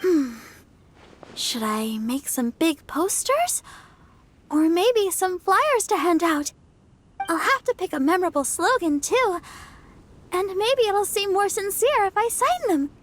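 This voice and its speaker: Very high, sweet young girl. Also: very animated, slow, exaggerated voice. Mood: earnest, thoughtful. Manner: gentle, earnest, slightly bashful. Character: sweet, earnest young church idol girl, modest and helpful. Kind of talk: video game cutscene acting.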